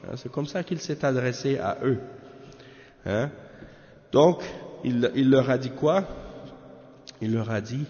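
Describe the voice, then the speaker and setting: A man from Canada giving a measured religious lesson on a text, often tagging his sentences with questions. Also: mid-range voice, warm tone. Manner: measured, peppers talk with tag questions. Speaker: religious teacher. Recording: echoey hall, dull lo-fi sound.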